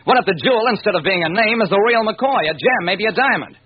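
Brisk, animated radio detective. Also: male voice, sits high, slightly rough, dry recording. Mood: wry, determined. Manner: hard-boiled, wry. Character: driven male police detective narrating his case. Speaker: narrator. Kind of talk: old-time radio crime drama narration. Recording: dull, noisy vintage radio recording.

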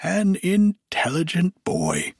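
A man voicing an elderly, formerly miserly man who is now giddy with delight, exclaiming in a high, breathless voice. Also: huge pitch swings, slow pace, creaky, a little mellow, breathy edge, dry recording, clean studio sound, full character voice. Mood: overjoyed.

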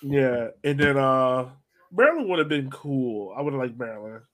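A man's voice: medium pitch, lively intonation, normal speed, fairly bright tone. A man hosting a sports podcast, chatting casually and putting questions to his co-hosts in a conversational way. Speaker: podcast host. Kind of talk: casual soccer podcast chat.

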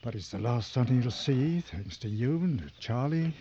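A male actor plays a veteran big game hunter in a vintage radio drama. He is a terse, commanding man with a weary edge and a middling pitch. The recording is muffled, with faint noise behind him. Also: lively intonation, normal speed, dark tone, natural voice. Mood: musing, weary. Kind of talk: 1940s-50s radio drama acting.

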